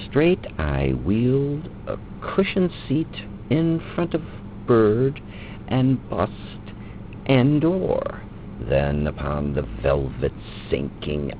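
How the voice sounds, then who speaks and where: A man with a gravelly voice gives a theatrical, drawn-out reading of a dark narrative poem, with long pauses. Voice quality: gravelly, very deep. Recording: muffled lo-fi recording with loud background noise.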